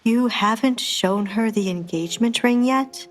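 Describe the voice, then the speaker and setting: A woman's voice: fairly high pitch, unhurried, soft background music, natural voice. Woman voicing a wary, muttering male priest. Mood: exasperated. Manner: muttering, dry, reproachful. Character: wary, exasperated, well-meaning male priest.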